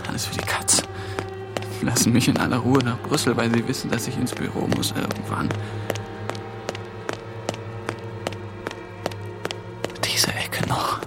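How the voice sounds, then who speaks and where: A man's voice: mid-range voice, level tone, brisk, whispered, loud music behind the voice, lightly acted. A young actor playing a hunted male intern in a radio play, muttering anxious thoughts to himself. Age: young adult.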